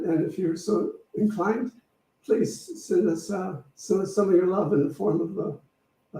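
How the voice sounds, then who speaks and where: A man hosting a church service, speaking informally and haltingly as he makes announcements and invites offerings. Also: sits high, mellow. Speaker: service host, minister. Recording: roomy, distant microphone.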